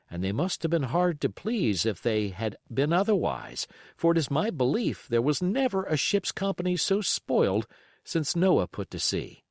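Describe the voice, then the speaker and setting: A man reading a classic adventure story aloud as an expressive audiobook narrator. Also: medium pitch, no echo, studio quality. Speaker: audiobook reader.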